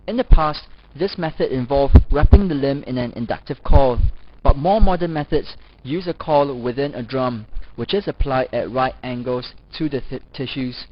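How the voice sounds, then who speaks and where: A man reading scripted educational narration as a lecturer, in a formal, measured manner. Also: medium pitch, slight background noise. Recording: dull, lo-fi voice-over recording.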